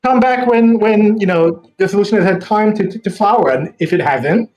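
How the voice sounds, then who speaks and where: A man's voice: high voice, normal speed, darkish tone, low-quality recording. A man playing a tabletop game, talking casually and improvising dialogue.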